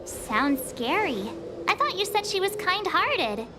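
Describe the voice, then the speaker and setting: High-pitched, chatty teenage girl adventurer. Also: big pitch swings, slow pace, background noise, heavily acted. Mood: curious, uneasy. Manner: informal, chatty, blunt. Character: perky, blunt teenage girl adventurer, easily flustered. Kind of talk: video game voice acting. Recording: music behind the voice.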